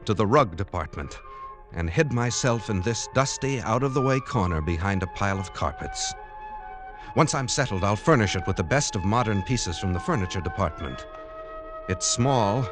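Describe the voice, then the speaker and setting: Guy acting as self-satisfied hermit poet doing diary monologue, old radio play, very expressive, music underneath. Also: mid-range voice, close to an everyday voice. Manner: theatrical, precise, self-satisfied. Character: exultant, eccentric reclusive male poet reading his diary. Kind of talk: 1940s radio drama monologue. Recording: vintage radio recording with music.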